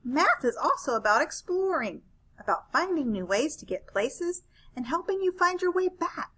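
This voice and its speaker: A woman's voice: sits high, big pitch swings, normal speed, pushed and bright, voice pushed up, exaggerated voice, poor audio. A woman reading a children's picture book aloud, voicing a curious, cheerful space alien who explains things eagerly. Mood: eager explaining.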